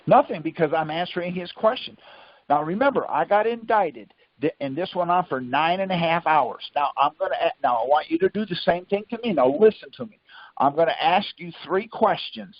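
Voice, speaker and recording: Man, fairly high husky voice, insistent teacher, question-and-answer coaching, lo-fi conference call. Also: expressive, fairly quick. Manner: insistent, quizzing, Socratic. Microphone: conference phone call. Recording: muffled, lo-fi conference call audio.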